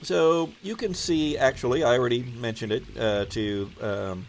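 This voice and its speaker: A man running a tabletop roleplaying game, improvising narration and thinking aloud with halting pauses. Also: medium pitch, creaky, slight background noise. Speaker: game master.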